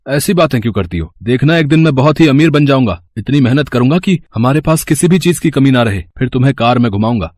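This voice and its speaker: An earnest, hardworking husband from a cartoon moral story, acted by a man. His mid-pitched voice is emotive and eager to please, recorded close and dry. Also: expressive, fast, a little mellow, no room sound, close to an everyday voice. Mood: earnest, hopeful.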